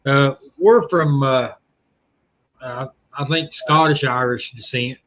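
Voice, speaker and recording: A man with a rural Southern accent hosting a casual livestream chat, speaking hesitantly with frequent pauses. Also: medium pitch, a bit bright, lo-fi sound. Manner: hesitant, lots of uh fillers, reads comments aloud.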